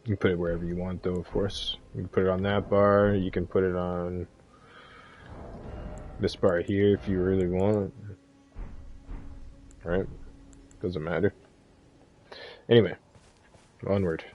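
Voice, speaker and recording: A man's voice: low-pitched, little pitch movement, measured pace, long pauses, lo-fi sound, a little hiss or hum. A man giving a casual, easygoing game walkthrough as a streamer. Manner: laid-back, easygoing. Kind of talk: casual game guide commentary.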